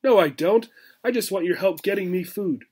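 Storybook gerbil, young and female male reader: earnest, pleading, quick to protest, pitched fairly high. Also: expressive, a little mellow, breathy edge. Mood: indignant protesting.

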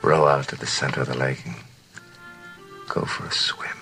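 A man's voice: rumbling low, fairly even intonation, normal speed, vocal fry, sharp tone, soft background music, exaggerated voice. An actor playing a suave, manipulative, flirtatious man in an audio drama. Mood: coaxing. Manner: suave, coaxing. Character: smooth-talking, manipulative, flirtatious male schemer.

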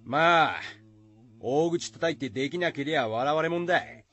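An actor playing a bold village man in a radio drama, with rough, blunt, folksy speech. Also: a little high, normal speed, fairly bright tone, lightly acted. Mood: skeptical.

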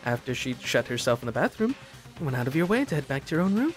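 A man's voice: mid-range voice, sing-song, soft background music, lightly acted. Man reading as a cool, accusing refined girl. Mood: coolly accusing. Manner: formal, probing, deliberate.

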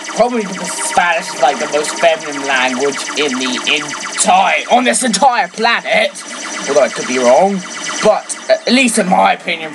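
A teenage girl whose first language is French, chatting excitably and rambling while playing a video game, with sudden shouts. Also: sits low. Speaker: let's play gamer. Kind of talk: casual game commentary. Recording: loud game music under voice.

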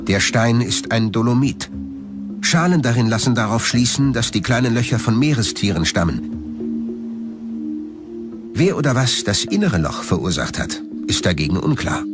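A man's voice: mid-range voice, lively intonation, normal speed, loud music behind the voice. A man reading scripted documentary narration as the narrator.

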